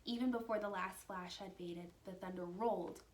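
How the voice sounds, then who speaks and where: A woman reading a children's storybook aloud like a teacher, animated and dramatic, with hushed asides to her young listeners. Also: mid-range voice, moderate pace. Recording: roomy echo with faint background noise.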